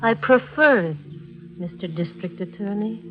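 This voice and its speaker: A woman's voice: medium pitch, normal speed, narrow, tinny audio, natural voice. An actress playing a guarded married woman under questioning in a 1940s radio drama, speaking tersely and formally. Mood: cool, defiant. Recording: band-limited 1940s radio recording with music.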